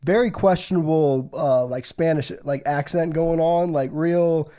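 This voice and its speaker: A man's voice: a little high, unhurried, mellow. A young American man co-hosting a podcast, chatting and telling stories in a joking, slangy way. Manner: joking, slangy, laughs often. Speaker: podcast co-host. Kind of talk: casual chat and storytelling.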